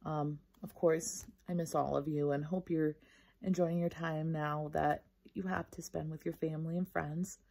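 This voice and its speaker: A woman reading a children's storybook aloud like a teacher, casual, expressive and encouraging toward young listeners. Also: deep voice, normal speed, gravelly.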